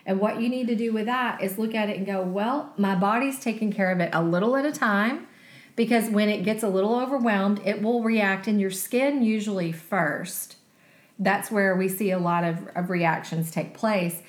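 A woman in her forties co-hosting a casual health podcast, chatty and quick to laugh. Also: medium pitch. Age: 40s. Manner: chatty, laughs easily, talks through advice. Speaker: podcast co-host. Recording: echoey room.

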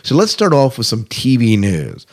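A man's voice: medium pitch, wildly swooping pitch, normal speed, darkish tone. A man hosting a podcast in a casual style, chatting and reading out news with conversational asides. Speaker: podcast host.

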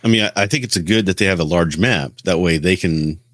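A man with a low, deep voice chats in a dry, close recording. His talk is casual and opinionated, pressing points and questions the way a gaming podcast co-host does. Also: quick pace, no echo. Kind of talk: casual chat about video games.

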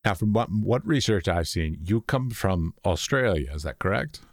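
A man's voice: sits low, expressive, normal speed, dry recording. A man hosting an interview, asking questions and adding dry, brief remarks. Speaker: podcast host. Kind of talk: conversational interview.

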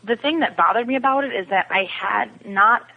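A woman's voice: fairly high pitch, normal speed, creaky, breathy edge. A woman giving her opinions in a casual, conversational TV discussion as a podcast guest. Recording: dull phone-like lo-fi connection.

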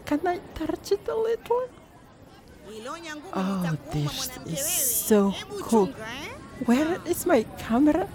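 A naive foreign tourist in a radio play gushes in slow, drawn-out phrases, voiced by a woman. Her high voice swoops up and down. Music plays behind her. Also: a little mellow, full character voice. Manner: gushing, theatrical.

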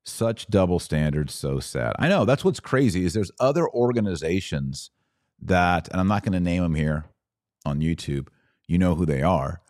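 Male host, very low register, dry close-mic sound, punchy live-chat commentary. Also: darkish tone, no room sound. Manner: emphatic, punchy lists of short phrases. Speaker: podcast host.

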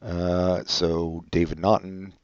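A man's voice: low voice, little pitch movement, takes it slowly, darkish tone, no echo, lo-fi sound. A man co-hosting a podcast, chatting casually about a movie in a dry, offhand way that often trails off. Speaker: podcast co-host.

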